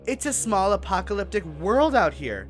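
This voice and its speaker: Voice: medium pitch, very animated, normal speed, faint music behind, close to an everyday voice. An actor playing a bitter, defensive survivor in an audio drama, with a sarcastic, accusing way of talking.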